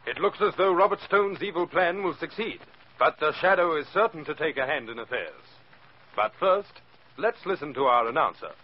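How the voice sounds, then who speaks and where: A man's voice: a little high, lively intonation, normal speed. A man narrating a radio drama in scripted 1940s announcer style. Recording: dull 1940s radio recording. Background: music.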